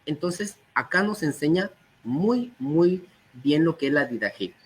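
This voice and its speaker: Slightly hoarse man reading and explaining. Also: fairly high pitch, expressive, slow pace, slight background noise, poor audio. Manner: reads quotations aloud, then explains them. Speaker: guest speaker. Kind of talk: teaching talk on early church texts.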